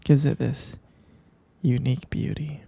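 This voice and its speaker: A man performing intimate boyfriend roleplay ASMR in a breathy, husky whisper, murmuring tenderly and adoringly with soft pauses. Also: medium pitch, level tone.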